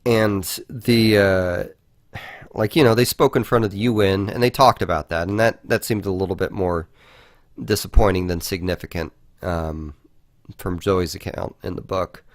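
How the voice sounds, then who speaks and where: Low-voiced man chatting on a podcast. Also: fairly even intonation, normal speed, dry recording, studio quality. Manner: frequently swearing, informal. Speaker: podcast co-host. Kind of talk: casual podcast discussion.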